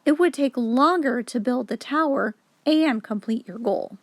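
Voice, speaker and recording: A woman with a high voice reads a picture book aloud to young children. Her intonation is very lively, rising and falling as she explains things in an instructive storyteller's way. The sound is dry and close, with little room in it. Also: a little slow, no room sound. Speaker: children's story reader, host.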